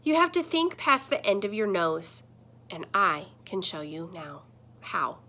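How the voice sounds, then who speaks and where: Storybook talking computer screen, read by a woman: bright, unhurried delivery, admonishing mentor, emphatic. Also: medium pitch, very animated, a little hiss or hum. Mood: encouraging. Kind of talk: children's picture book read-aloud.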